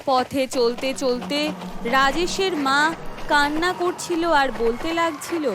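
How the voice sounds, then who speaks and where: A woman's voice: high voice, slow pace. A woman narrator reading a scripted horror cartoon story.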